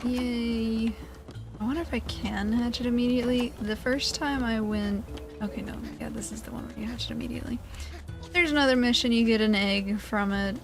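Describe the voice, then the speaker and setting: A woman hosting a gaming video, giving casual let's play commentary with playful, dry joking asides. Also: medium pitch.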